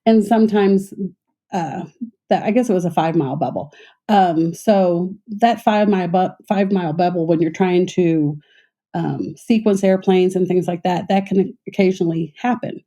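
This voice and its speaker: A middle-aged woman, a former air traffic controller, recounting her work in a measured, conversational way with frequent fillers and restarts. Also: fairly low pitch, mellow. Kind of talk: conversational interview storytelling.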